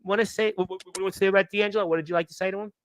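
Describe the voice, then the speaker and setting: A man's voice: high-pitched, fairly even intonation, very fast, dry recording. A man from Brooklyn, hosting a radio show and chatting in a rambling way, greeting listeners as he goes. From Brooklyn, New York. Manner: rambling, chatty, full of uh fillers. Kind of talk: casual chat with shout-outs to listeners.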